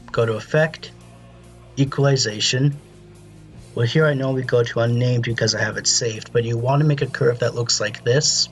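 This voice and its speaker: A man presenting a step-by-step software tutorial in a casual, instructional way. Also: medium pitch.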